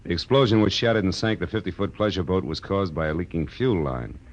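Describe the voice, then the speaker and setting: An American actor playing a weary, wisecracking private detective with a dry deadpan delivery in 1940s radio noir style. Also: male voice, low-pitched, quick pace, lo-fi sound. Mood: wry, unimpressed. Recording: old radio recording with music behind.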